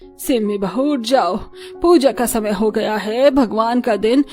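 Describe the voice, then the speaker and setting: A strict, old-fashioned mother-in-law in a cartoon, scolding sternly in a fairly high voice, as a woman voices her. Soft music plays underneath. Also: slow. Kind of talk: cartoon voice acting.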